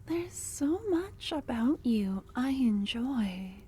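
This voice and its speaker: A young woman voicing an obsessive, possessive female cultist in an ASMR roleplay. She talks in a soft, close murmur that drops into whispers, and her tone is doting and unsettling. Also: on the high side, very animated, slow pace, slight background noise. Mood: curious, doting.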